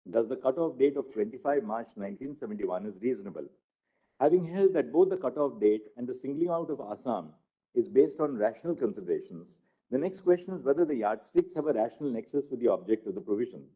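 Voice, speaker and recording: Male narrator, very mellow and husky tone, formal measured reading of legal material. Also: mid-range voice, expressive, poor audio. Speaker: narrator reading a court judgment. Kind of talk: formal read-aloud of a legal text.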